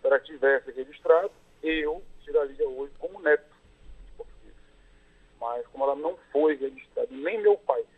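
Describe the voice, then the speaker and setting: A Brazilian man, a lawyer, giving measured explanations in an interview over a phone line, with fillers as he works through each point. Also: fairly high pitch, breathy edge.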